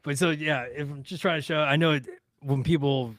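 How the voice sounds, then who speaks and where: A man's voice: fairly high pitch, steady tone, a little fast, a bit bright, no room sound, studio quality. A man in his thirties chatting casually as a podcast producer and host, joking and self-deprecating.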